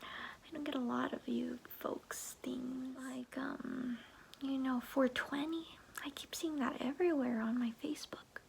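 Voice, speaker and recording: A woman's voice: high voice, steady tone, gravelly, a little hiss or hum, low-quality recording. Young woman playing a whispering, doting old granny. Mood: chatty, puzzled. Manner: slow, soothing, fussing, with folksy endearments. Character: kindly, doting, slightly clueless old grandmother. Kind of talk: whispered ASMR roleplay.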